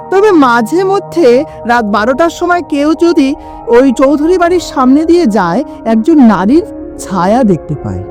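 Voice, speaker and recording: A woman's voice: mid-range voice, darkish tone. A woman narrating a scripted horror story in a dramatic storytelling manner, with sudden drops in her voice.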